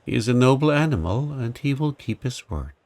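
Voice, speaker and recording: A man voicing a small, kindly, helpful mouse in a storybook reading, speaking chirpily and briskly. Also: medium pitch, big pitch swings, darkish tone, dry recording, voice pushed up. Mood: eager.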